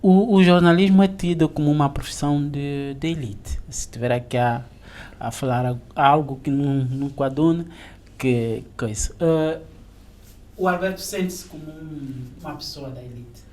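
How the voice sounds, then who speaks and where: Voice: mid-range voice, normal speed, a little mellow. A podcast host with an Angolan Portuguese accent who interviews the guest and fills in with brief agreeing backchannels.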